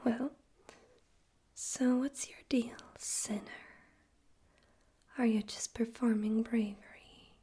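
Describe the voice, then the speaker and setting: Smug radio demon overlord played by a woman, ASMR roleplay. Mellow breathy whisper, higher-pitched, teasing and condescending. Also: fairly even intonation. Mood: taunting, curious. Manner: slow, teasing, theatrical, condescending. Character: smug male radio demon overlord, politely menacing and amused.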